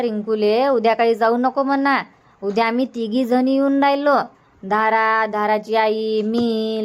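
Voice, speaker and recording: A woman plays a village mother from a cartoon comedy, with a fairly high voice and a Varhadi Marathi accent. She chats in a homely, practical way, repeating herself as she agrees and organises. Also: natural voice. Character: chatty, practical village mother, middle-aged or older, organising an outing.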